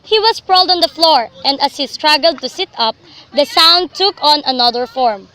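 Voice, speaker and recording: A woman's voice: sits high, expressive, normal speed, pushed and bright. A young woman narrating a scripted story for a radio drama.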